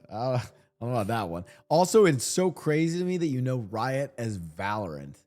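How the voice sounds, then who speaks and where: A man's voice: medium pitch, big pitch swings, darkish tone. Joking man in his thirties. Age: 30s. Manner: joking, rambling, quick to laugh. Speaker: podcast host. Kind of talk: casual podcast chat.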